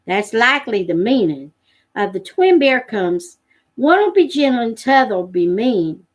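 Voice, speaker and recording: A woman's voice: a little high, sing-song, measured pace. A woman voicing a patient, practical backwoods father and hunter in a storybook, explaining things in homespun rural dialect. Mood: gently firm.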